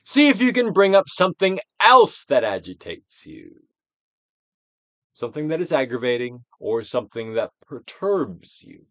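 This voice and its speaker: A man's voice: mid-range voice, big pitch swings, normal speed, fairly bright tone, dry recording. A man giving a theatrical, declamatory dramatic reading of a self-help book, like a stage Shakespearean, drawing out phrases for emphasis. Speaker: audiobook reader, actor.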